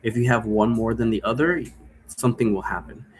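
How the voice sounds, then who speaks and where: A man's voice: mid-range voice, a little fast. A man giving a rambling, informal explanation, thinking aloud and wandering between points. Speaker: game creator explaining role-play rules. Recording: noticeable background noise.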